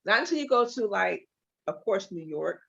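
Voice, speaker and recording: A woman's voice: medium pitch, normal speed, creaky, slightly rough. A woman in her forties from Los Angeles, a hair and makeup artist guest telling her story openly in a casual interview. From Los Angeles, California. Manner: open, storytelling, animated at times. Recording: dull lo-fi audio.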